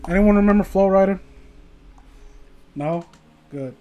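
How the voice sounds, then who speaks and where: A man's voice: high voice, measured pace, muffled recording. A man casually reading aloud on a livestream, rambling and sweary, often breaking off mid-sentence with mocking commentary. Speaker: streamer reading stories aloud to viewers.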